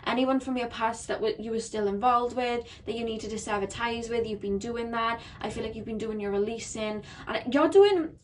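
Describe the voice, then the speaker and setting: Croaky-voiced woman doing tarot reading. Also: fairly high pitch, moderate pace, few pauses, distant mic, a little hiss or hum. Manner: chatty, rambling with many ums and likes. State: croaky shaky voice. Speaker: tarot reader. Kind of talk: pick-a-card tarot reading. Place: seated behind a camera at home.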